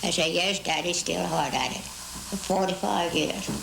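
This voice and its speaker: An elderly American woman in her eighties speaks in a low register with a gravelly creak. She tells her stories deliberately, pausing and repeating for dramatic weight. A light hiss of background noise sits behind her. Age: 80s. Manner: deliberate, dramatic phrasing with pauses and repetition. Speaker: amateur conductor being interviewed. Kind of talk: reflective interview storytelling.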